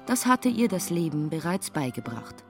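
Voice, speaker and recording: A plain, good-natured young woman storytelling in a radio drama. Her voice is low for a woman and very expressive in pitch, over faint music. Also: normal speed. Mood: wistful, storytelling.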